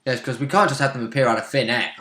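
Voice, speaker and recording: Guy player chiming in on a game recap, wry, bright voice, echoey room. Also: mid-range voice, fast talker. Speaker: player in a tabletop game, co-host. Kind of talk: casual chat, interjecting in a game recap. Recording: roomy, echoey sound.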